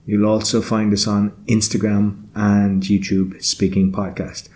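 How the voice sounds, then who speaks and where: A male podcast host opens the episode in a low, steady voice. He runs briskly through the introduction, sounding like a scripted announcement.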